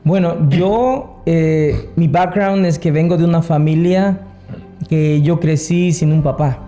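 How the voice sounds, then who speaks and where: A Salvadoran-accented man gives a personal testimony as an interview guest. His voice is very soft-edged and dark, pitched a little high for a man. Faint music plays underneath. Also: slow pace. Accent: Salvadoran Spanish. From San Salvador, El Salvador. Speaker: interview guest, worship singer. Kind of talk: personal testimony, casual storytelling.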